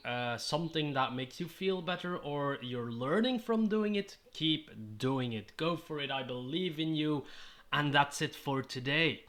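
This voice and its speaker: A man's voice: a little high, sharp tone. A man in his thirties with a Dutch accent, a native Dutch speaker, giving upbeat, encouraging tips to camera from a small room, speaking into a mic on a stand. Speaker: YouTube host giving roleplaying tips. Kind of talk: scripted-sounding advice monologue to camera. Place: small indoor room with striped curtains. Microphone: studio mic on a stand in front of him.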